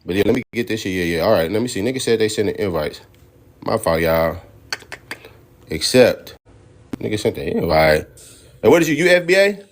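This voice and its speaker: A man's voice: on the low side, big pitch swings, a little fast, pauses often. A man hosting a casual debate on a phone livestream, talking bluntly in profane street slang.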